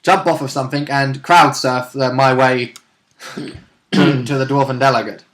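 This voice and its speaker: A man co-hosting as a player, casually recapping a tabletop game in a giggly, animated storytelling manner. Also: medium pitch, unhurried. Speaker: player and co-host.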